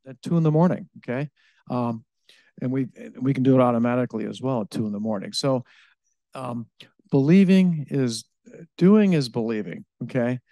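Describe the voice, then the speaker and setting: A man answers audience questions at a live event, his voice mellow and dark. The sound is dry and close. He stops and restarts often, fills gaps with "um", and keeps checking in with "okay?". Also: mid-range voice, no echo. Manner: halting, with many fillers, restarts and a tag "okay?". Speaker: utility innovation director answering audience questions. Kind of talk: live presentation Q&A.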